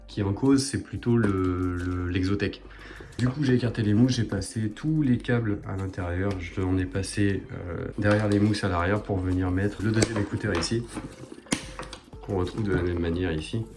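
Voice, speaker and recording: A man with a fairly low voice presents a product in a casual vlog style. He explains things informally and step by step, as someone showing gear hands-on. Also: darkish tone. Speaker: motorcycle vlogger presenting a product.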